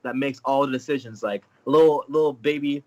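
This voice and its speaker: A man from Florida, a fighter guest, talking on a video call with a stuffy cold and telling animated, joking stories. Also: medium pitch, a little fast, highs cut off. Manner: animated, joking, laughing storyteller. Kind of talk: casual interview over video call.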